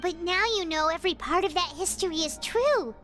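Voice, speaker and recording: Extremely high, squeaky little fairy. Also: female voice, a little fast, a bit bright. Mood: earnest. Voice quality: squeaky, childlike. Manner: exclamatory, emphatic. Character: tiny childlike fairy companion, excitable and nosy. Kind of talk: English video game voice acting, cartoonish. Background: game music behind the voice.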